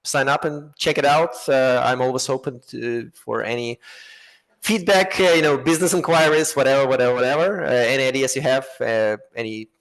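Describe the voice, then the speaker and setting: A man chats as a podcast guest, talkative and loose, with phrases tumbling out one after another. His voice sits at an ordinary middle pitch. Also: a little fast, poor audio. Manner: self-described chatterbox, talkative and loose. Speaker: guest, app co-founder. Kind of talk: casual podcast conversation.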